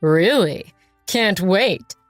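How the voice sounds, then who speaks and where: Female narrator as callous boyfriend, casual, self-satisfied, medium pitch, audio story drama. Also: lively intonation, very slow, dry recording, faint music behind. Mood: smug. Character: callous, smug cheating boyfriend, adult man.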